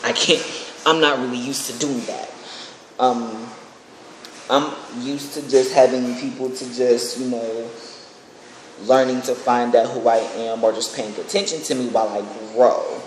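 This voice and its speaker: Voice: medium pitch, measured pace. Young adult vlogger with a mid-range voice, casual and rambling, recorded in a very reverberant, noisy room. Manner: rambling, excitable. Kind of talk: casual chat to camera. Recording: echoey room with clear background noise.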